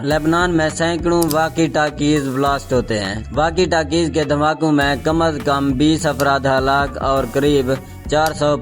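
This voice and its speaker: A man with a somewhat high-pitched voice narrates a scripted news explainer. His delivery is formal and measured, in a documentary style. Soft music plays behind him. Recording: background music under the voice.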